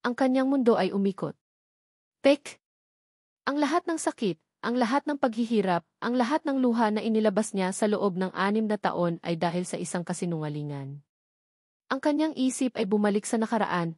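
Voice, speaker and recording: A woman's voice: medium pitch, darkish tone, dry recording, studio quality. A woman reading a scripted love story as a dramatic narrator.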